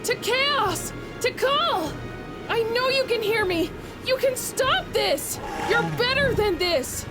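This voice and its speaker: Woman as very high-voiced, earnest young guardian. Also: animated, takes it slowly, over loud music, heavily acted. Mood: pleading. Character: young heroic female guardian, determined, pleading, earnest. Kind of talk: animated comic dub voice acting.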